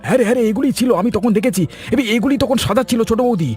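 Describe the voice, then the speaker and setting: A man's voice: extremely high pitch, rapid-fire, warm tone, faint music behind. A man voicing an agitated, nagging village schemer in a cartoon, speaking urgently. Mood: urgent conspiring. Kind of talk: cartoon voice acting.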